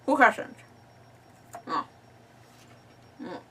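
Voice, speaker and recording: A woman's voice: very slow, fairly bright tone, recorded from a distance. A woman hosting a casual live stream, chatting in a rambling, apologetic, self-deprecating way. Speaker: livestream host.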